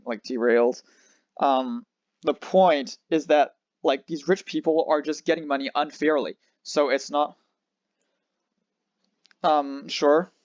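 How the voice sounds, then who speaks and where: A young man with a Geneva Swiss accent in French rambles through a casual livestream, drifting between French and English and restarting his sentences often. His voice sits at an ordinary pitch. The recording is dry and a little dull and lo-fi. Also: no room sound. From France near Switzerland. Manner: rambling, restarts, switches language. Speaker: livestream host.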